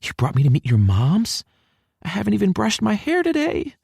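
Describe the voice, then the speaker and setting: A man's voice: high-pitched, wildly swooping pitch, moderate pace, creaky, a little mellow, husky, dry recording, studio quality, pitched above the performer's own voice, exaggerated voice. A man voicing a flustered, teasing young woman who is feeling sick, in an audiobook story. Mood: indignant.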